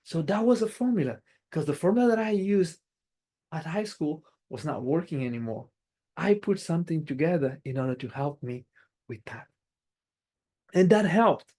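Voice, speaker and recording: A man's voice: on the high side, animated, moderate pace, a little mellow. A man from Angola whose first language is Portuguese, giving an earnest prepared speech at a speaking club and often repeating or restarting phrases.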